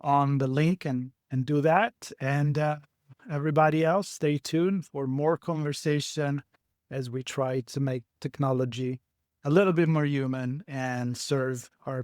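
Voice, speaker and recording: A man hosting a podcast, enthusiastic and warm in his praise as he wraps up the conversation. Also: medium pitch, no echo, studio quality. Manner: enthusiastic, wrapping up with thanks. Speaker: podcast host.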